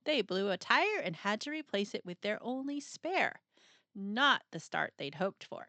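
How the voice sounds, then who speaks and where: Female storybook narrator: lively, swooping intonation, dramatic pauses, playful emphasis, very dry close sound. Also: mid-range voice, dry recording. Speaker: storyteller reading a children's book aloud. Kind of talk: expressive scripted picture-book narration.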